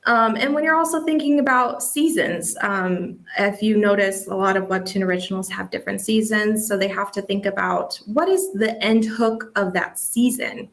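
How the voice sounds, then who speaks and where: A woman leads a casual online-style lesson in the role of an editor. She speaks at a middle pitch in a conversational, informal way, with "like" and "you know" sprinkled through her explanations. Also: normal speed, few pauses. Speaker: editor presenting a workshop.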